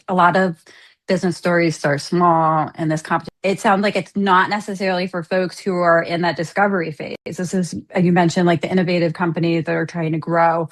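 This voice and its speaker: Woman doing an interview, kinda lowish voice, conversational, setting up questions.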